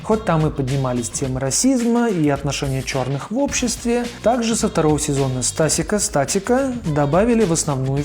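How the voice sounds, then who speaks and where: A man's voice: a little high, a little mellow. A man narrating an informal scripted video essay as its host, in a colloquial, opinionated manner. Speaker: host of a cartoon retrospective video.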